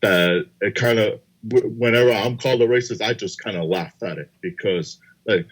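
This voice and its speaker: A young man co-hosting a casual podcast, speaking hesitantly with many pauses and restarts. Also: lowish voice, rough recording. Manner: hesitant, full of fillers and restarts. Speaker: podcast co-host.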